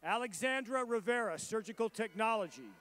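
A man reading out graduates' names and their programs at a commencement ceremony, in a measured and formal roll-call delivery. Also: high voice, animated, a bit bright. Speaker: commencement name reader.

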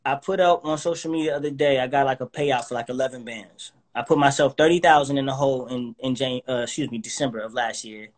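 A man in his thirties, calling in to a live video chat from his living room. He talks with confidence and a preacher's drive, at a medium pitch. The sound is plain phone or webcam audio. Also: fairly even intonation, fast, hardly any pauses. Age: 30s. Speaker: guest artist. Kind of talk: casual interview over live video. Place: indoors, living room with couch.

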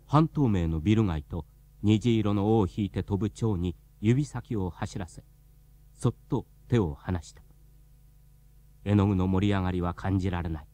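A man giving measured, formal scripted narration for a radio drama. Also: sits low, dark tone, dry recording. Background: music.

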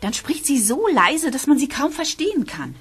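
A woman's voice: sits high, sing-song, moderate pace. An actress playing a sceptical, level-headed teenage schoolgirl in a radio play, frank and teasing in a matter-of-fact way. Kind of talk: German radio play acting for young listeners.

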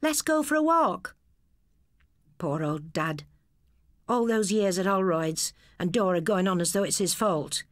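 A woman's voice: fairly low pitch, a little slow, long pauses, dry recording, clean studio sound. A woman voicing a tender-hearted, wistful young English girl in a storybook tale. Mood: fond, sad. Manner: wistful, expressive.

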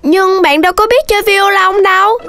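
An actor voicing a sensible, slightly bossy and caring young rabbit in a children's cartoon, earnest and quick to scold. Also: very high voice, loud music behind the voice. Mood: curious, caring. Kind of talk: children's cartoon dubbing in Vietnamese.

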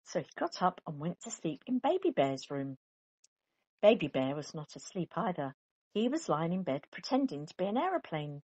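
A woman reading a children's picture book aloud in a deliberate, animated storytelling manner. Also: lowish voice, rough recording. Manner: deliberate, animated, with character sounds. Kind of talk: expressive children's story narration.

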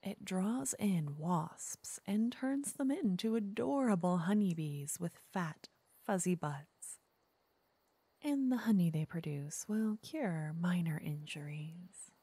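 A woman playing a flirty, teasing witch in an ASMR roleplay, softly and often in whispers, intimate and coaxing. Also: fairly low pitch, lively intonation, takes it slowly, no room sound, exaggerated voice. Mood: playful, chatty. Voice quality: soft, breathy, often whispering. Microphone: close microphone.

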